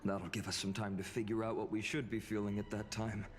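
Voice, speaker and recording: An actor playing a gallant, earnest young fantasy hero in a video game, speaking in a measured, courteous way. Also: male voice, lowish voice, level tone, slight background noise, close to an everyday voice. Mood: earnest, calm. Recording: long reverb, game music behind.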